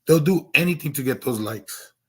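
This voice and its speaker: A hoarse man losing his voice, talking earnestly on a stream with lots of restarts and fillers. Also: mid-range voice, lively intonation, normal speed, darkish tone. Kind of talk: casual livestream monologue.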